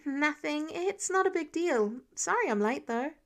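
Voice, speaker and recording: A woman's voice: sits high, lively intonation, a little slow, pitched above the performer's own voice. A woman voicing a young male superhero, evasive, chatty and casual, in an audiobook story. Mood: evasive, sheepish. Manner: casual, brushing things off.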